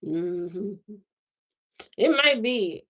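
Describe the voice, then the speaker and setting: A woman hosting a livestream, chatting casually with viewers in a slow, drawling, musing way. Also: medium pitch, animated, fairly bright tone. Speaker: livestream host. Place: lives in Indiana, not from there.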